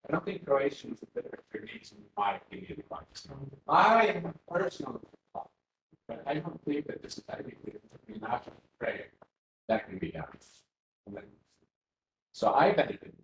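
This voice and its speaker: A man's voice: fairly high pitch, big pitch swings, moderate pace, vocal fry, fairly bright tone, slight background noise. A man from Finland giving an academic workshop talk, hesitant, with many fillers and restarts. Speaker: academic speaker. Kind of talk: conference talk. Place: university seminar room. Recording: roomy hall with faint ambience.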